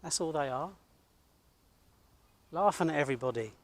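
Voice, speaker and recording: A man's voice: fairly high pitch, animated, normal speed, no room sound. A British man talking bluntly and self-assuredly as he narrates to his camera outdoors and chats casually, working as an independent videographer. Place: outdoors at a college car park.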